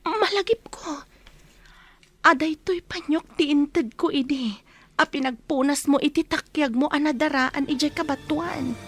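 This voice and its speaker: An actress playing a tender, emotional young office worker in a radio drama, speaking haltingly. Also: female voice, high voice. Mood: stunned, moved. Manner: slow, halting, hesitant delivery. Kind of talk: Ilocano radio drama acting.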